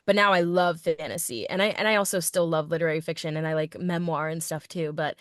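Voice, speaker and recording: A woman's voice: deep voice, quick pace, no echo, studio quality. A young woman voice actor chatting enthusiastically as a podcast guest, peppering her talk with "like.". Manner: enthusiastic, chatty, many "like" fillers. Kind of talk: casual podcast interview. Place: podcast recording.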